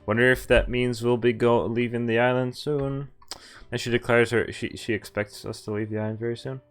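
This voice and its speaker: A man's voice: medium pitch, level tone, fairly quick. A man streaming a visual novel, reading it aloud with casual commentary and some stumbles, amused asides mixed in. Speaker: game streamer.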